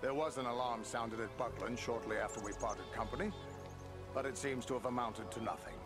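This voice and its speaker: A man playing a dependable ranger captain in a fantasy game, speaking in a grave, measured, formal manner. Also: mid-range voice, fairly even intonation, lightly acted. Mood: grave counsel. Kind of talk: fantasy video game cutscene acting. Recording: music underneath.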